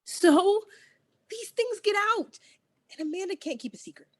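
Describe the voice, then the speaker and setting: A woman's voice: very high voice, animated, normal speed, a little mellow. A woman playing a spoiled, popular teenage girl at a table read, whiny and pleading with her father. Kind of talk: table read of an amateur play.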